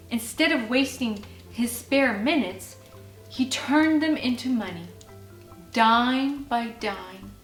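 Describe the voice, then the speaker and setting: A woman reading a children's bedtime story as an expressive narrator. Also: sits high. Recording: roomy sound with faint music behind.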